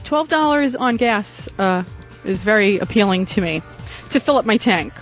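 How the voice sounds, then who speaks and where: A woman's voice: medium pitch, lively intonation, moderate pace, soft background music, poor audio. Rambling, crude, nasal-sounding woman host. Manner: crude, joking, rambling with many fillers. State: nasal, just woken up. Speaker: podcast host. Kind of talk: casual rambling chat.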